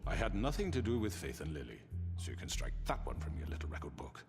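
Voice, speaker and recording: An actor playing a condescending crime boss on trial in a video game, speaking formally and evasively with haughty, measured phrasing. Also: male voice, rumbling low, very animated, exaggerated voice. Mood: self-justifying. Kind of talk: video game dramatic acting. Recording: long hall reverb, faint music.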